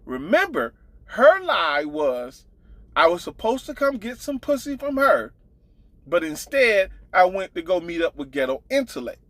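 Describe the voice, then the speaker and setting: Guy doing a slow gossip rant online, super animated pitch swings, cusses a lot, fed up and blunt. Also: no echo. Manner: blunt, profane, exasperated. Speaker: online storyteller and commentator.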